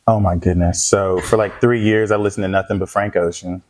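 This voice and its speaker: A young man, a pop singer as guest, telling stories in a casual interview, animated and laughing often with slangy phrasing. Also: sits low, a little fast.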